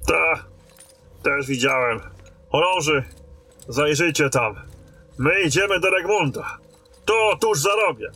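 An actor playing a battle-hardened, blunt and commanding lieutenant in a fantasy audio drama. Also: male voice, fairly high pitch, slow pace, pauses often, bright, forceful tone, breathy edge, soft background music, exaggerated voice. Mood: grim.

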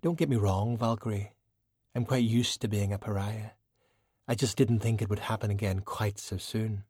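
A man voicing a poised, beautiful woman in an audiobook, speaking in measured, formal phrases with proud, wry self-pity. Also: on the low side, steady tone, a little mellow, breathy edge, dry recording, studio quality. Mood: composed, wry. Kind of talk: audiobook character dialogue.

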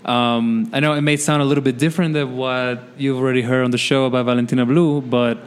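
A man, a musician guest, speaking in a mellow, thoughtful way with many hesitations. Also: mid-range voice, steady tone, quick pace, slight background noise. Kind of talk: casual interview chat.

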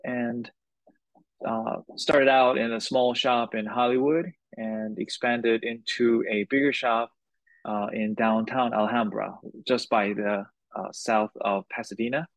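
A man from Korea, a guitar maker being interviewed, answering in an unhurried way with short phrases and pauses. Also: medium pitch, fairly even intonation, no echo, rough recording.

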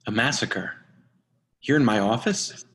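A man in a readers' theatre play, playing a self-important bald office boss who speaks deliberately and haltingly. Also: medium pitch, sing-song, a bit bright. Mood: indignant.

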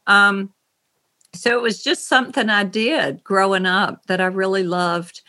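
Woman, Southern accent, middle-aged, slow pace, bright tone, thoughtful pauses, video call. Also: medium pitch, fairly even intonation. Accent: Southern American. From North Carolina, USA. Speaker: novelist guest. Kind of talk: relaxed interview on a video call. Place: home writing room.

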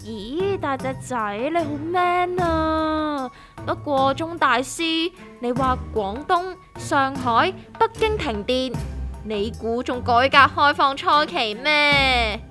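A woman's voice: high voice, slow, pushed and bright, exaggerated voice. A woman playing a theatrical, easily spooked and sarcastic character in a comedic Cantonese radio drama, with a Hong Kong accent. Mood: teasing. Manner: theatrical, mock-earnest. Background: music.

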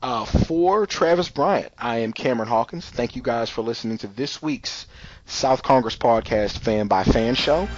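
A man's voice: mid-range voice, moderate pace, muffled recording. A man hosting a podcast in loose, casual chat, filling his talk with "you know" and "like". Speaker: podcast host.

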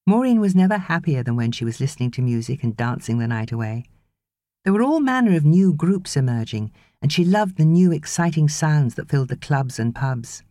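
British woman, audiobook narration, mellow dark tone, animated intonation, scripted fiction, dry close sound. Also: deep voice, fairly quick, no echo.

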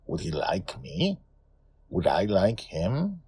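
Guy doing an old grandpa telling his dream story, husky, wistful, pitch wanders a lot. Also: wildly swooping pitch, a little mellow, no echo, heavily acted. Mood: musing. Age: older. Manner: musing, unhurried, wistful. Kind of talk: first-person audio story narration.

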